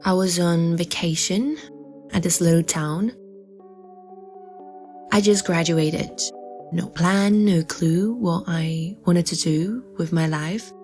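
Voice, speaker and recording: A woman's voice: lowish voice, takes it slowly, pauses often, darkish tone, soft background music. A woman playing a wistful woman confiding a mysterious memory in an intimate, hesitant audio drama style.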